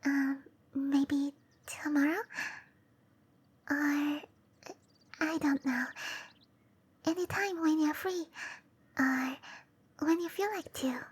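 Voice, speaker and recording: A woman voicing a shy, gentle young cherry blossom dryad in a breathy, hesitant whisper for roleplay ASMR. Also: high voice, fairly even intonation, slow, long pauses. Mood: bashful, hopeful. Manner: hesitant, bashful, softly spoken. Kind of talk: roleplay ASMR, intimate and slow.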